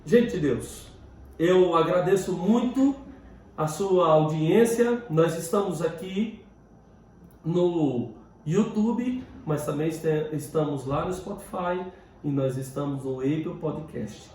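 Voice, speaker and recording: A Brazilian man with a fairly high voice gives an informal Bible lesson as a pastor. He speaks in short, emphatic phrases and appeals straight to his listeners. The sound is very reverberant and somewhat distant from the microphone. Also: slow pace, darkish tone. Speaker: pastor teaching a Bible lesson, host of the show. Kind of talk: expository Bible teaching, spoken informally. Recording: very echoey room, distant mic, faint noise.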